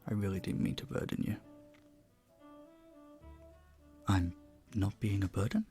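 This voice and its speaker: A man playing an earnest, courteous, flirtatious vampire bartender in an intimate audio roleplay, speaking softly, politely and deliberately. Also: sits low, fairly even intonation, soft background music. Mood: apologetic, grateful.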